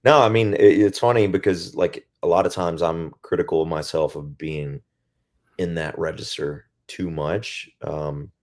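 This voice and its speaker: A male singer with a naturally very deep voice, talking casually and reflectively in an interview, often pausing to find his words. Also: fairly even intonation, a little mellow. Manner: reflective, searching for words with many pauses. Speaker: band singer as guest.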